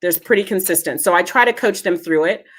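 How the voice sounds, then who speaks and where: A woman's voice: fairly low pitch, brisk, low-quality recording. A woman speaking as a genealogy foundation head in a casual interview, earnest and reassuring as she gives advice.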